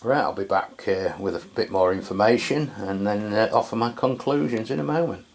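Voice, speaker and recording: A man with a notably low voice explains a product in a laid-back, conversational manner. He often pauses on fillers and corrects himself mid-sentence, like a hobbyist talking to his regular viewers. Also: a little hiss or hum. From England. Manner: relaxed and chatty, with frequent fillers and self-corrections. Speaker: radio equipment reviewer. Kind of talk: casual product review walkthrough.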